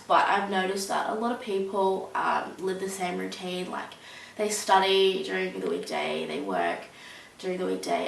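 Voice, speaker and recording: A woman's voice: mid-range voice, vocal fry, a bit bright. A young woman, answering interview questions casually and hesitantly, with many ums and likes. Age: young adult. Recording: roomy, mic at a distance, faint hiss.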